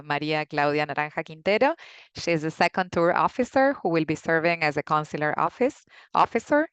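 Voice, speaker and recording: A woman's voice: low voice, a bit bright, clear, resonant tone, no room sound. A woman moderating an online talk, hesitant and full of uhs. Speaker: program coordinator, moderator.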